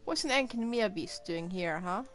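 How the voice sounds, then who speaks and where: A woman's voice: medium pitch, lively intonation, normal speed, noisy background. A woman giving casual live gameplay commentary as a streamer. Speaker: game streamer.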